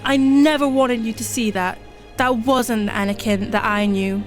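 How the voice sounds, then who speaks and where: A woman plays a solemn warrior mentor in a sci-fi audio drama. She is measured and protective, with a slightly high voice over faint music. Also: close to an everyday voice.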